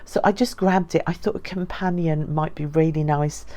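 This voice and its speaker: A woman with a slightly low voice chats casually to her viewers in the style of a haul vlog. She is openly enthusiastic and tends to gush, keeping it informal and friendly to follow. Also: steady tone, moderate pace, mellow. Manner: enthusiastic, gushing. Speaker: book vlogger.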